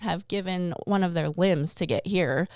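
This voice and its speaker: A woman's voice: on the low side, normal speed, darkish tone, no echo, clean studio sound. A woman co-hosting a real estate podcast, chatting along in agreement with her guest. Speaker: podcast co-host, realtor. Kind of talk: casual podcast interview. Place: podcast studio in an office.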